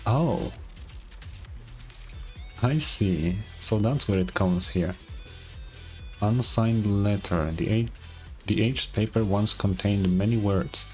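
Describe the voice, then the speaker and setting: A man's voice: on the low side, takes it slowly, long pauses, darkish tone. A man playing a video game, reading story narration aloud and adding murmured asides, with game music behind him. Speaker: game streamer. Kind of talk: gameplay commentary with read-aloud story narration.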